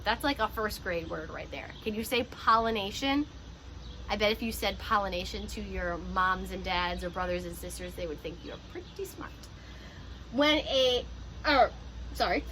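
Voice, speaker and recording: Woman, preschool teacher, high and very bright voice, animated, encouraging, children's read-aloud, faint background noise. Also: low-quality recording. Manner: animated, encouraging, speaking directly to young children.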